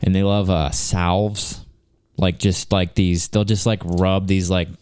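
A man's voice: sits low, level tone, takes it slowly, darkish tone, smooth, dry recording, clean studio sound. A man in casual, joking, rambling chat, full of fillers. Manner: laid-back, joking, rambling with many fillers.

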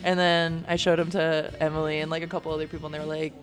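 A woman's voice: sits low, little pitch movement, fast, soft background music. A woman in her late twenties, a band's frontwoman, chatting casually in an interview with lots of fillers and frequent laughter. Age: late 20s. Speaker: band frontwoman, guest.

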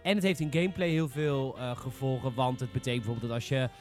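A man's voice: mid-range voice, fairly even intonation, fast talker, soft background music. A man hosting a casual chat, talking bluntly and informally as he steers the discussion. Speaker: podcast host.

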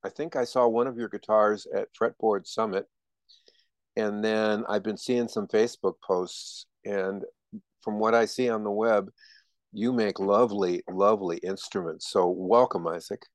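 A male podcast host who welcomes his guest effusively, often stumbling over and repeating his words. His voice is lowish and sounds dry and close, with no room around it. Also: steady tone, unhurried, dry recording. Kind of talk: casual podcast chat.

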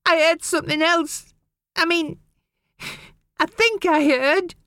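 A woman's voice: steady tone, slow pace, a bit bright, no room sound, studio quality, heavily acted. A woman voicing a young boy who pleads tearfully with his parents. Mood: pleading. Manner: pleading, high childish voice.

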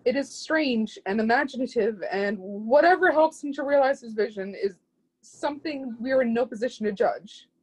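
A woman's voice: a little high, normal speed, slightly rough, poor audio. A woman playing a proud, zealous would-be artist's muse in a play reading, speaking with lofty, scornful emphasis. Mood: haughty.

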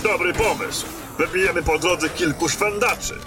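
A man playing a gruff, commanding and foul-mouthed knight lieutenant in a fantasy radio drama. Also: fairly high pitch, moderate pace, over music, natural voice. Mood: brisk, decisive. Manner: brusque, commanding.

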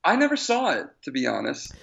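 A man's voice: on the high side, wildly swooping pitch, brisk, vocal fry, fairly bright tone. A man co-hosting a podcast in casual conversation, on a slightly dull recording. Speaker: podcast co-host. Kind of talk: casual chat.

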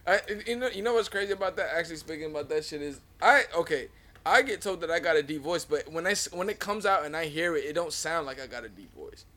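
A man's voice: a little high, expressive, fast talker, pushed and bright. A young man in his twenties co-hosting a podcast, chatting loosely with profanity and frequent laughter. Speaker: podcast co-host. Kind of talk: casual chat.

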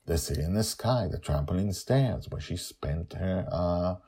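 Deep raspy guy doing a story podcast, dramatic phrasing, pauses for effect. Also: animated, dark tone. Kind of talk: dramatic podcast narration and commentary.

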